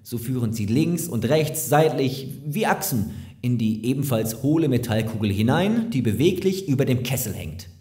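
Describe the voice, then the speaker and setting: A man playing a slick, overeager lawyer in an audio drama, flattering and evasive, with hesitant persuasion. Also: mid-range voice, normal speed, natural voice. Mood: explaining. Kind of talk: German audio drama acting. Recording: roomy, echoing sound.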